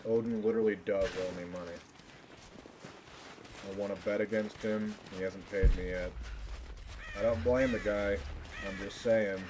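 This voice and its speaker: A man's voice: on the low side, steady tone, normal speed, long pauses, noisy background. A man live streaming a game, chatting casually in a rambling, jokingly dramatic way. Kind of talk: casual gaming livestream chat.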